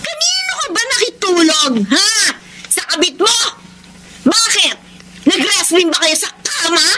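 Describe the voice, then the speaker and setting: Very high-pitched, suspicious Filipina wife. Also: female voice, very animated, a little slow, heavy background noise, full character voice. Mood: accusing. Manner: nagging, accusatory, interrogating. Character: suspicious, hot-tempered young wife confronting cheating husband. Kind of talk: Filipino radio drama acting.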